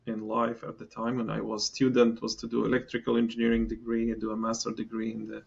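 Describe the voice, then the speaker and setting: A man's voice: mid-range voice, monotone, fast talker, creaky, breathy edge, highs cut off. A middle-aged man from Palestine, a guest in a podcast interview, talking with many fillers and restarts. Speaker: engineering guest.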